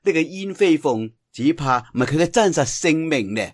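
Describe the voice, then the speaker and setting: A male storyteller puts on a clever young wuxia heroine with a Cantonese accent. She speaks in a soft, teasing, knowing way, slightly husky, with supple changes of pitch. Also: animated, normal speed. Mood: knowing, composed. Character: clever young woman, teasing, composed martial arts heroine.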